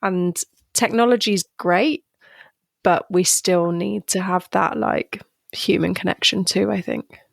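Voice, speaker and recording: A woman's voice: fairly low pitch, fairly even intonation, a little slow, creaky, no echo, clean studio sound. A woman with a Yorkshire accent hosting a podcast, upbeat and encouraging as she chats with her guest. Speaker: podcast host. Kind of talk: casual interview chat.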